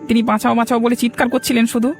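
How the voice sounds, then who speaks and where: Village gossip for a cartoon, extremely high voice, excitable and rapid, faint music below. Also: male voice. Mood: excited gossip. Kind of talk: amateur cartoon voice acting.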